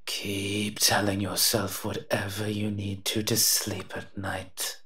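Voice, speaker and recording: Man acting a slow-talking, sardonic towering monster. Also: fairly low pitch, completely flat delivery, pushed and bright. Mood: teasing, amused. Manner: teasing, sardonic, muttering asides. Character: eight-foot male smoke monster, sardonic, menacing, protective. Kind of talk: scripted roleplay audio drama.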